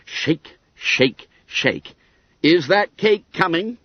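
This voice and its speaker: A man voicing hearty, indignant schoolboys in a story reading, brisk, accusing and boisterous. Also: a little high, expressive, no room sound, highs cut off, pitched above the performer's own voice, exaggerated voice. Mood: gleefully bullying.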